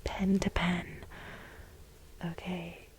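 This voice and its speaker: A woman playing a clingy, unhinged millennial office assistant in a breathy, halting, soft-spoken ASMR role play. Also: on the low side, little pitch movement, slight background noise, pitched below the performer's own voice. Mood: earnest, intimate. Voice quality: breathy, whispery, creaky. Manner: halting, dramatic, self-justifying.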